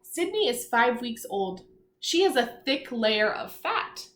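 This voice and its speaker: A woman teacher reading aloud to young children, pausing to explain simply, ask questions and encourage her listeners. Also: on the high side, big pitch swings, fairly bright tone. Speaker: elementary school teacher. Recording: echoey room, faint background noise.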